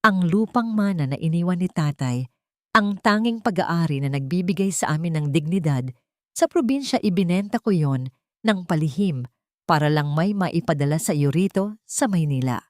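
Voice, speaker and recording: A woman's voice: on the low side, expressive, moderate pace, dry recording, studio quality, lightly acted. A woman playing a betrayed, self-sacrificing young provincial woman in an audio story, aggrieved and reproachful. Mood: hurt, reproachful.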